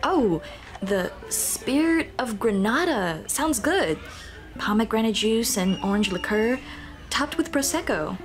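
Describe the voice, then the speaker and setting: A woman's voice: fairly high pitch, animated, slow. A woman playing a shy, flustered monster girl in an ASMR roleplay, with a nervous, stammering, rambling way of talking. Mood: warm, playful. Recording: music behind the voice.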